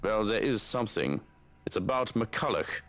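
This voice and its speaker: A man's voice: lowish voice, expressive, moderate pace, music in the background, lightly acted. A man voicing a sly male servant with a mock-formal, teasing manner and a light German flavor. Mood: matter-of-fact.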